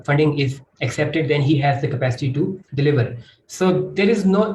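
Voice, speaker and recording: Man explaining stuff on a panel call, slightly high voice, lots of room echo. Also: darkish tone. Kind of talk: explanatory advice on an online panel.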